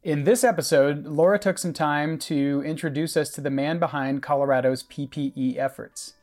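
A man's voice: fairly high pitch, steady tone, moderate pace, darkish tone. A man co-hosting a podcast, reading a scripted opening in an announcer-like way. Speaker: podcast co-host.

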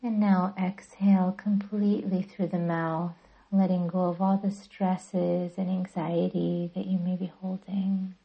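A woman guiding a meditation as a podcast host, speaking gently and deliberately in a soothing, breathy voice. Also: lowish voice, flat delivery, lo-fi sound. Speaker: yoga nidra guide and podcast host. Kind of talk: guided meditation narration.

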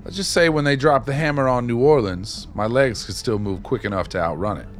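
A man's voice: mid-range voice, a little fast, natural voice. An actor playing a laid-back, worldly older man in a radio play, talking in a folksy, colloquial, easygoing way. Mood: wry, reflective. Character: laid-back, worldly older male drifter, music buff. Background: music playing, guitar.

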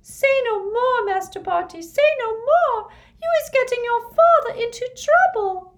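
A woman voicing a small, distraught female house-elf servant in a storybook, shrill and piercing, wailing pleas in broken grammar. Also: expressive, a little slow, heavily acted. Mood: pleading, frantic. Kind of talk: audiobook character voice.

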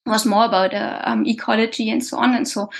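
A woman's voice: on the high side, fairly even intonation, fast, vocal fry. A woman, answering interview questions in a hesitant way with many fillers and restarts. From Germany. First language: German. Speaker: guest scientist.

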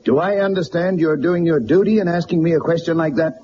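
An actor playing a rich, blustering, domineering middle-aged tycoon in a radio drama, blunt and imperious. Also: male voice, on the high side, brisk, close to an everyday voice. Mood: indignant, threatening. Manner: forceful wording, blunt, imperious. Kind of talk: mid-century radio drama acting. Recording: muffled old radio recording, faint hiss.

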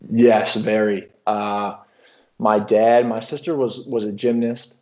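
Laid-back low-voiced man, lots of restarts. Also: slow, muffled recording. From Illinois, US. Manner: relaxed, rambling with many restarts and fillers. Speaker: professional basketball player. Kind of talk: casual interview answers.

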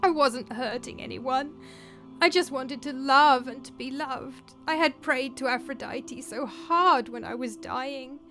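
A woman's voice: high voice, a little slow, bright, forceful tone, voice pushed up. A tender young revenant played by a woman narrator speaks in a raised, breaking voice. She is sorrowful and pleading, a lover from an old ghost story, with faint music behind her.

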